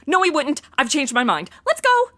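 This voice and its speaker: Woman playing a high, dramatic young American ditz. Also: wildly swooping pitch, moderate pace, bright, forceful tone, exaggerated voice. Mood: uneasy, flippant. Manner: dramatic modern slangy delivery. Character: flighty, self-absorbed young ex-cheerleader makeup blogger, melodramatic. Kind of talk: comedy audio drama acting.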